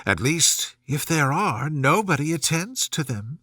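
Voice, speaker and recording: A polite, chatty young storybook girl, played by a man, talks in a high, lilting voice. She sounds earnest and proper, with lively swings in pitch. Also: a little slow, dry recording, studio quality, full character voice. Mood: flustered, complaining. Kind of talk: storybook character voice.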